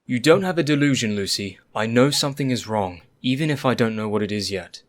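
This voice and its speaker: An actor playing an earnest, devoted young Victorian doctor in a radio play, speaking formally. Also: male voice, medium pitch, fairly quick, natural voice. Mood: concerned.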